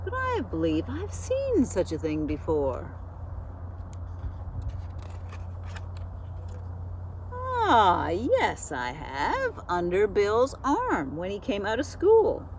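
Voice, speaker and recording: A woman's voice: mid-range voice, wildly swooping pitch, slight background noise. A woman voicing a proud, silly female goose in a children's storybook, self-important and sure of herself. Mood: musing, delighted. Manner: self-important, exclaiming, deliberate. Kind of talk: children's storybook character reading.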